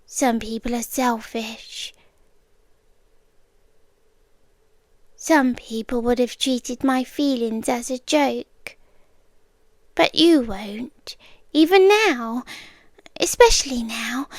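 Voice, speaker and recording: A British woman acting in an ASMR roleplay as an obsessive, calmly possessive girlfriend, speaking intimately and often slipping into whispers. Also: on the high side, slow pace, pauses often, no room sound. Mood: quietly menacing. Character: obsessive, soft-spoken yandere girlfriend, calmly possessive young woman captor.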